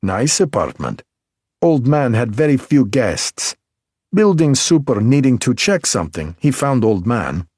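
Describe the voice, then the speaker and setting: A man's voice: medium pitch, big pitch swings, measured pace, a little mellow, slightly rough, dry recording, clean studio sound, pitched above the performer's own voice. A man putting on a blunt Belarusian woman. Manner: blunt, complaining, broken English. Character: brusque middle-aged Belarusian woman, medical examiner tech.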